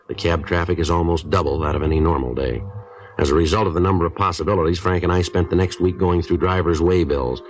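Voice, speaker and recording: An American actor playing a stoic, matter-of-fact police detective in a 1950s radio drama, with terse, deadpan procedural delivery. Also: male voice, low voice, lo-fi sound, natural voice. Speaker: actor narrating and playing the lead. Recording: old radio recording, faint music.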